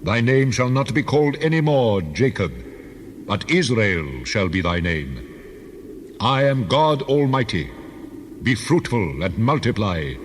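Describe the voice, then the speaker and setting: A man's voice: medium pitch, big pitch swings, slow, slight background noise. An actor playing God as a solemn, commanding deity in a dramatized scripture reading, declaiming his words. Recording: long cavernous reverb.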